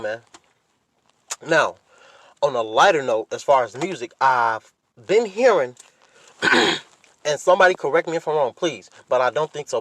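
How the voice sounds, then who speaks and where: An American man talks in a slightly high-pitched voice as a podcast host on his own. He chats informally, offering opinions straight to his listeners. His wording is slangy and freely peppered with swearing. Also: a bit bright, no room sound. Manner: informal slangy talk with frequent profanity. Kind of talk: casual solo chat with opinions, addressing listeners.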